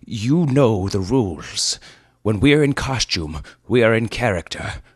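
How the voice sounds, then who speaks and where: A man's voice: on the low side, animated, measured pace, slightly rough, dry recording, clean studio sound, voice pushed up. A man voicing a wise old fellowship leader, lordly, formal and earnest, in a comic fantasy story. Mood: grave, stern. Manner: formal, earnest, mock-noble.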